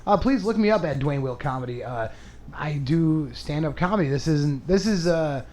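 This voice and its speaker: Rambling higher-pitched man, comedic podcast host. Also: animated, normal speed, a little mellow. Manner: rambling, self-mocking, profane. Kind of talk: casual, crude comedic podcast chat.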